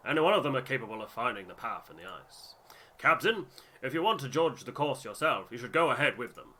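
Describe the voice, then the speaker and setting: A man's voice: medium pitch, very animated, fairly quick, sharp tone. A man voicing an eager, proud polar expedition crewman with a hearty, formal manner, in a story game. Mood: proud, resigned.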